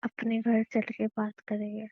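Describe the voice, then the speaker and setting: A woman's voice: a little high, completely flat delivery, unhurried, dry recording. An actress playing a young, remorseful estranged wife in a relationship drama, clinging and insistent, repeating her pleas. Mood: apologetic, pleading. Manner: insistent, pleading, repeats herself. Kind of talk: Hindi audio relationship drama, naturalistic dialogue. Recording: dull lo-fi, dry close sound.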